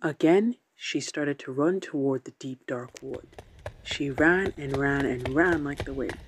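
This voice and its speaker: A man's voice: mid-range voice, a little slow, background noise, lightly acted. A man narrating a children's radio play as a scripted storybook tale.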